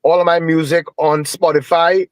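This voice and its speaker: Animated Trinidadian man telling a story. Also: on the high side, normal speed, clear, resonant tone, no echo. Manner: animated storyteller with Caribbean phrasing. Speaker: guest musician. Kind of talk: casual storytelling interview.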